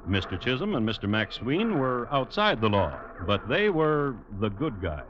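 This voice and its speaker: An American actor playing the wry storyteller of a western radio drama, dry and deliberate with pauses. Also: male voice, mid-range voice, big pitch swings. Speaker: narrator. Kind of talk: 1950s radio scripted narration. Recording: noisy, muffled old radio recording.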